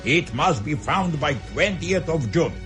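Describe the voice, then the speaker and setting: An actor playing a stern, commanding Soviet leader in a radio drama, with a blunt, imperious delivery. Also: male voice, a little high, lively intonation, unhurried, music in the background.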